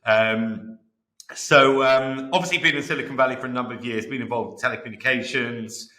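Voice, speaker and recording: Bright-voiced male podcast host, roomy echo. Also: mid-range voice, fairly quick. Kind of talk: scripted podcast intro and interview.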